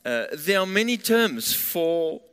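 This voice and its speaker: A man's voice: sits high, sing-song, fairly bright tone, smooth. A man preaching in English in short phrases for an interpreter, whose first language is Afrikaans. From southern Africa. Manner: pauses in short phrases for the interpreter, some restarts. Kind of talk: sermon with live interpreter. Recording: long hall reverb.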